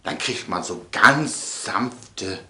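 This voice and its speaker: A man's voice: sits low, lively intonation, very slow, pushed and bright. A man hosting a comedic monologue to viewers, theatrical and repeating phrases for emphasis. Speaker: host of an online show. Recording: echoey room sound.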